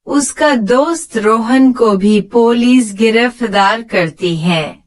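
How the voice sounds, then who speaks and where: A woman's voice: a little high, slow. A woman giving scripted narration for a children's moral story.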